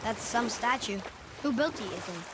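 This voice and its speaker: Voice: medium pitch, expressive, moderate pace, noisy background, natural voice. A young performer playing a curious, chatty young boy in a video game, inquisitive and eager with casual phrasing. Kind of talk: naturalistic video game acting.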